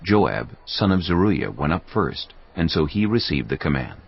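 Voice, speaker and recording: Deep husky guy narrating the bible, solemn, gravelly, lots of intonation, bit muffled. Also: sing-song. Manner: solemn, measured phrasing. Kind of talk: scripted dramatized Bible narration.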